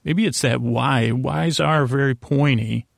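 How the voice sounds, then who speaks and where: Creaky-voiced man, meandering and silly. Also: mid-range voice, no echo, clean studio sound. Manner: meandering, halting, trailing off and circling back; gently silly, whimsical. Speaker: podcast host. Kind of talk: rambling bedtime monologue to aid sleep.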